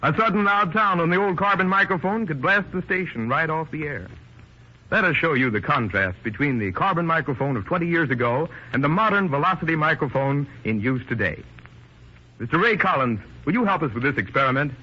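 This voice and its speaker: A man announcing on 1930s radio, with a fairly high voice that swings in lively fashion. He addresses listeners formally, like a showman. The old recording is dull and lo-fi. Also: fairly quick. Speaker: radio presenter.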